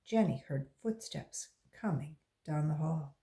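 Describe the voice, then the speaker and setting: A woman from West Virginia reads a children's book aloud to a class. Her pace is slow and unhurried. Her pitch moves lively up and down as she tells the story. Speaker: volunteer reader.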